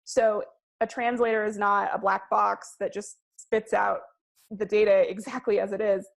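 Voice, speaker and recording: A woman giving a presentation on research methods, speaking in a measured way with frequent ums and slowing down to stress key words. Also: mid-range voice, little pitch movement, gravelly. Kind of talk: presentation to a class.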